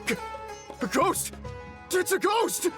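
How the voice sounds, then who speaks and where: Jittery scared townsman, really high voice, stammery, game music behind. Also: very animated, takes it slowly, heavily acted. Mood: panicked. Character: frightened townsman witness, jittery and easily alarmed. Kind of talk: video game voice acting.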